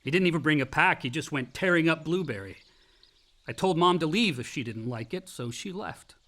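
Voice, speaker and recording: An actor playing a volatile, wounded college-age son in a radio play, with a sardonic, defensive American delivery. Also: male voice, fairly high pitch, animated, brisk, sharp tone. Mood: sullen, defensive. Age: young adult. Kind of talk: naturalistic radio play acting.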